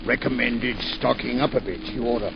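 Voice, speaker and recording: An actor playing a chatty, pushy village grocer who doubles as air raid warden, in a 1940s radio drama. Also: male voice, medium pitch, fairly even intonation, fairly quick, vocal fry, natural voice. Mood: pushy salesman. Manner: chatty, salesman patter. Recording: dull 1940s radio broadcast, noisy.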